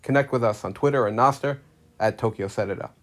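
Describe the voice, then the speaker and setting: A man, one of the podcast hosts, reading the scripted show introduction in a steady announcer manner. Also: medium pitch, brisk. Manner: steady read-out announcer delivery. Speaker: podcast host.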